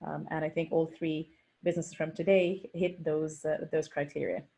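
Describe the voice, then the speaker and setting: A woman investor introduces herself on an online panel over a video call. She speaks in an articulate, businesslike way with occasional ums. Her voice is a little low. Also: little pitch movement, moderate pace, vocal fry, mellow.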